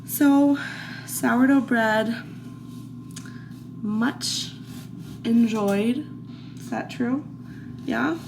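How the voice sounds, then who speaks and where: A woman's voice: fairly high pitch, extremely slow, long pauses. Chatty woman with a slightly stuffy cold. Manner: chatty and rambling, with many "like"s and restarts. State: slightly congested from a lingering cold. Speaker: vlogger mom cooking for her family. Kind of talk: casual cook-with-me chat. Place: home kitchen, indoors. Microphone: camera set in a kitchen cupboard. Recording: clear background room noise.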